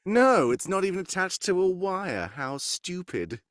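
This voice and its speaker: An actor playing a shrewd, inquisitive gentleman detective in a live 1940s-style radio mystery, theatrical and given to wry asides. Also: high voice, big pitch swings, measured pace, no room sound, clean studio sound, heavily acted.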